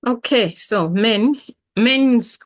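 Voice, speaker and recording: A woman with a Malawian accent gives an informal language lesson as the teacher, repeating words deliberately for learners. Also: a little high.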